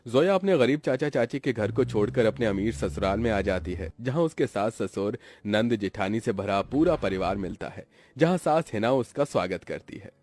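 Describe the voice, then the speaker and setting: A man's voice: fairly low pitch, lively intonation, moderate pace, few pauses, darkish tone. A man narrating a scripted story for a cartoon.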